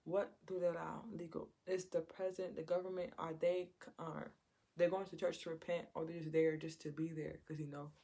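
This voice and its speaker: A woman's voice: sits low, level tone, fairly quick, creaky, dark tone. A woman giving an informal Bible teaching in a conversational way, repeating her phrases and acting out quotes. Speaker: preacher.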